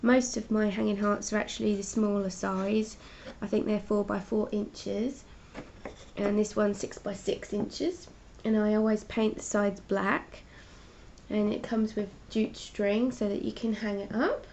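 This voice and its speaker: A congested woman with a mellow, flat-toned voice talks casually through her artwork on a live stream, explaining each piece in a chatty way. The sound is dull and lo-fi, with a faint rumble behind her. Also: unhurried. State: congested with a cold. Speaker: artist hosting her own live stream. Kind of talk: casual art chat, showing paintings.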